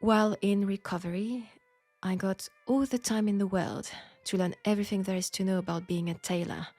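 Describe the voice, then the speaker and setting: A woman with a French accent playing a proud, wry young Parisian seamstress in a scripted audio roleplay, speaking in a measured, pointed way. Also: medium pitch, fairly even intonation, a little mellow, dry recording, faint music behind, close to an everyday voice. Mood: resigned, wry. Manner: measured, pointed, sardonic, with sighs.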